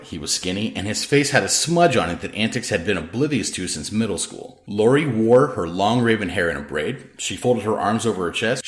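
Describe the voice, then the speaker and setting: A low male voice reads a novel in an emphatic, dramatic way. The pitch moves around a great deal from phrase to phrase, and the room adds a clear echo to the storytelling. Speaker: author reading own novel. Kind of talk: scripted audiobook narration. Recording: roomy, echoing sound.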